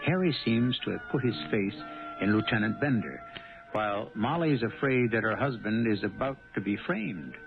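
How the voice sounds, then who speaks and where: An actor playing a sly, theatrical host narrating a 1970s radio mystery with dramatic rhetorical questions. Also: male voice, lowish voice, expressive, moderate pace, gravelly, music in the background. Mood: sly, suspenseful. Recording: dull old radio broadcast.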